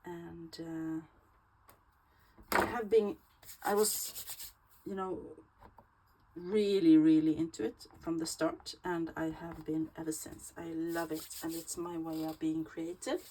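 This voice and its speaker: Swedish-accented woman, unhurried speech, fairly low voice, chatty and hesitant, faint background hiss. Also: level tone, dark tone, low-quality recording. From Sweden. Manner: slow, chatty, hesitant with fillers. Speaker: crafting channel host. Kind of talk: casual craft tutorial.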